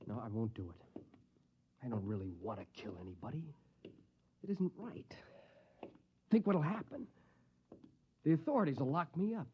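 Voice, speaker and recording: An actor playing a paranoid, homicidal man with a halting, intense manner in a 1950s science fiction radio drama. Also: medium pitch, animated, slight background noise, close to an everyday voice. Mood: agonized. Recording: dull vintage radio recording.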